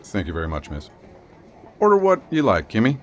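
A man's voice: lowish voice, huge pitch swings, fairly quick, slight background noise. A man acting as a wry, folksy, kindly small-town sheriff in a radio drama. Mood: kindly, reassuring.

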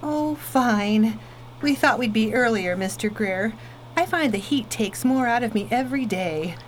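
Young expectant wife woman acting, radio drama: high voice, earnest, fretful, whimsical; faint noise behind. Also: lively intonation, unhurried. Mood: polite. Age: young adult.

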